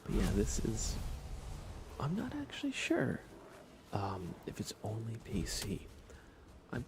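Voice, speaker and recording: A man streaming ASMR in a soft, breathy whisper, chatting casually and gently while he plays a game. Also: lowish voice, huge pitch swings, takes it slowly, noisy background. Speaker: livestream host. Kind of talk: ASMR livestream, casual chat while gaming.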